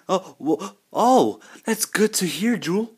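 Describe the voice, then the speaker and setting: A man's voice: high-pitched, expressive, takes it slowly, darkish tone, breathy edge, pitched below the performer's own voice, heavily acted. A man voicing a male bird plush toy in a homemade skit, speaking in a friendly, put-on voice.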